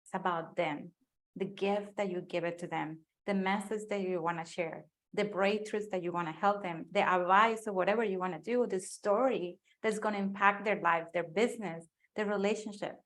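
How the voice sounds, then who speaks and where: A woman's voice: mid-range voice, fairly even intonation, normal speed. A woman with a Spanish accent, a native Spanish speaker, hosting an interview with enthusiastic praise. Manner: warm enthusiastic praise, mixes casual phrasing. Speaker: host and business coach.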